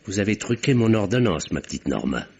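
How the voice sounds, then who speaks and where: An actor playing a gruff, authoritative country doctor in an old French radio drama. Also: male voice, on the low side, big pitch swings, fairly quick, darkish tone, full character voice. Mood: stern, irritated. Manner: authoritative, scolding. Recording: dull vintage radio recording.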